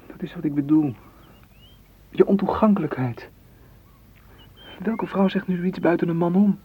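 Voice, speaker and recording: A man's voice: high-pitched, normal speed, husky. An actor playing a possessive, wooing man in a radio drama, pressing and reproachful in manner. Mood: insistent. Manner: insistent, reproachful. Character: possessive, wooing suitor seeking warmth and closeness. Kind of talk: literary radio drama acting. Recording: dull, noisy radio play recording.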